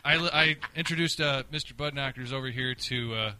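A man's voice: medium pitch, brisk, background noise. A man co-hosting a sports show in casual chat, with a joking, wry manner. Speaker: sports podcast co-host.

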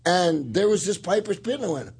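Older man with a husky voice. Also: high voice, lively intonation, brisk, a little mellow. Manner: gravel-edged, laughing, swaggering anecdotes. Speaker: podcast host. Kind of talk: casual storytelling chat.

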